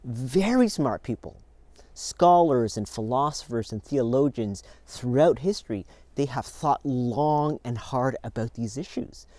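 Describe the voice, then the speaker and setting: Warm earnest man addressing his church. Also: medium pitch, no room sound. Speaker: church video host. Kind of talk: addressing a congregation by video.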